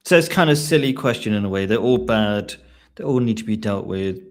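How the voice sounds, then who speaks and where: A man's voice: lowish voice, expressive, brisk. Man, host, live online discussion, questioning, restarts sentences. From England.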